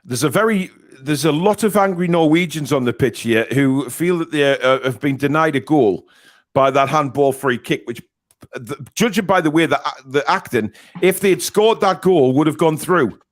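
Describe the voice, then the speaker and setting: A Geordie man hosts a casual live football watchalong from his desk mic. His voice sits high, and he jumps between shouting at the match and laughing as he reads viewer comments aloud. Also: moderate pace. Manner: excitable, laughs often, reads chat aloud. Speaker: host.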